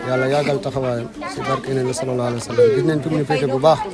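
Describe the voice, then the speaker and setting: Rapid, flat man reciting blessings, loud crowd. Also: medium pitch. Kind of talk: formal prayer and thanks.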